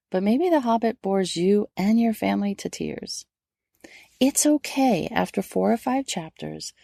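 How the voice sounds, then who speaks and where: Mellow, creaky-voiced woman hosting a podcast. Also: medium pitch, dry recording, studio quality. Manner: instructive, addressing listeners directly. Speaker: homeschool podcast host. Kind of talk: solo podcast advice talk.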